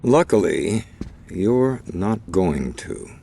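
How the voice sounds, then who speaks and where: An actor playing a sardonic older male crime boss in a video game, with dry, measured, sarcastic speech. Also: low-pitched, sing-song, creaky, mellow, slight background noise, heavily acted. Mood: calculating. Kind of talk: video game cutscene acting.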